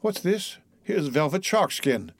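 A man's voice: on the high side, big pitch swings, measured pace, no echo, voice pushed up, full character voice. A man voicing a half-mad, frightened young cabin boy in an audiobook, with a singsong, rambling manner. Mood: tender wonder.

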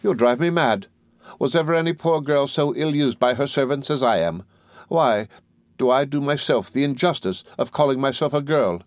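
A man's voice: normal speed, no echo, close to an everyday voice. A man voicing a distraught young mother, pleading in a raised, tearful voice. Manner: tearful high-pitched pleading.

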